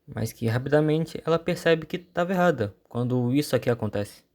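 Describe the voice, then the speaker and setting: A man's voice: medium pitch, lively intonation, brisk, background noise. Brazilian man narrating a horror story. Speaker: YouTube channel host. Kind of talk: informal scripted storytelling narration.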